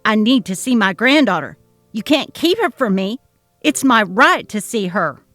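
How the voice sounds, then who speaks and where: A woman voicing a jealous, demanding grandmother who talks loud and shrill. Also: sing-song, normal speed, dry recording, soft background music. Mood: shrill, frantic. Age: older. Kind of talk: dramatic reading of a text-message story.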